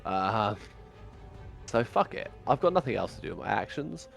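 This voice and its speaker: The player at the game table who swears at his own bad luck, a creaky-voiced man swooping dryly through his turn, faint music playing. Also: lowish voice, very animated, moderate pace. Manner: sweary, dryly self-deprecating. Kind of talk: casual banter.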